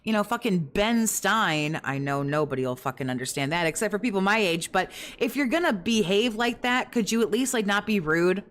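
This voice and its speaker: A woman giving reaction commentary in a fairly monotone, rambling way, critical and swearing often. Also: mid-range voice, fairly quick, few pauses.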